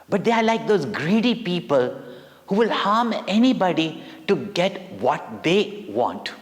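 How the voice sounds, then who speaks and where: Man, children's myth storyteller, deliberate and unhurried, very expressive intonation, recorded with long reverb. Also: a little high. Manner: deliberate, dramatic pauses, questions to listeners. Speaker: spiritual teacher and children's storyteller.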